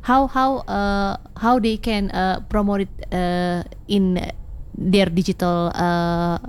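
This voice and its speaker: A woman's voice: medium pitch, fairly even intonation, takes it slowly, clear, resonant tone. Chatty Indonesian woman radio host. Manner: hesitant, many fillers and frequent laughs. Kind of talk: bilingual casual radio chat, English mixed with Indonesian.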